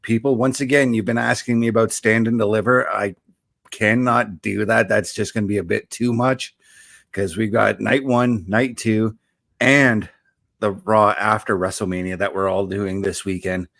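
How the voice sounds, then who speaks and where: A man co-hosting a wrestling podcast, reading out news briskly with fillers. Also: lowish voice, fairly even intonation, creaky, fairly bright tone, no echo. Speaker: podcast co-host. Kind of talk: casual podcast chat and news rundown.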